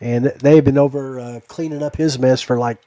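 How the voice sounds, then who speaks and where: Male American podcast host, mid-pitched, warm dark timbre, easygoing conversational delivery. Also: fairly even intonation, moderate pace, no echo. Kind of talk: casual chat.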